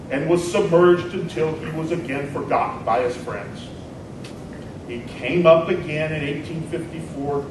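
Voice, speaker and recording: A man playing a combative 19th-century American senator, delivering a dramatic debate speech in an emphatic, deliberate oratorical manner. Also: lightly acted. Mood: scornful oratory. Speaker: performer in a historical dramatic reading. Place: large echoey indoor hall, recorded from afar. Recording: very echoey hall, distant mic, rumbling noise.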